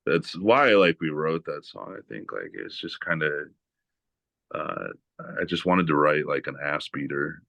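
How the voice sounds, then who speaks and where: A man from New England with a strikingly low, deep voice speaks as a guest on a podcast. He rambles loosely and fills his sentences with "like" and "you know". The sound is dry and close, with no room echo. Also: measured pace, vocal fry. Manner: relaxed, rambling with many "like" and "you know" fillers. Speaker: guest guitarist. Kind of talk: casual podcast interview.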